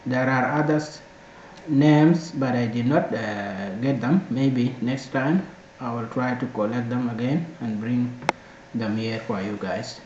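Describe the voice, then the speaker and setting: A man's voice: medium pitch, slow, darkish tone. A man from South Sudan, a native Dinka speaker with a South Sudanese accent, hosts an informal language lesson, repeating words for learners. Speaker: language teacher and channel host. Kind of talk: informal video lesson addressed to viewers. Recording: echoey room, muffled sound, faint noise.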